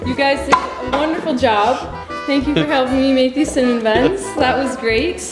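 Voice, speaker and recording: A woman's voice: high-pitched, normal speed. A young woman guiding a baking demonstration in a large home kitchen, explaining each step in an upbeat, instructive way. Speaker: guest baker. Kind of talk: cooking demonstration. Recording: echoey kitchen with background music.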